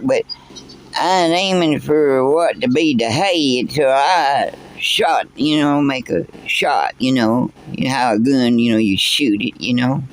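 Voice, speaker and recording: A man's voice: medium pitch, close to an everyday voice. A man playing an old backwoods storyteller, rambling folksy and tripping over repeated words as he tells a tall tale. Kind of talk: character storytelling.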